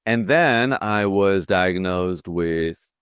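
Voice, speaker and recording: A man in his sixties from Israel, a guest healer telling his story slowly with frequent pauses. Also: low-pitched, a bit bright, smooth, dry recording, clean studio sound. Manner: slow, measured, with frequent pauses and fillers. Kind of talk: interview conversation.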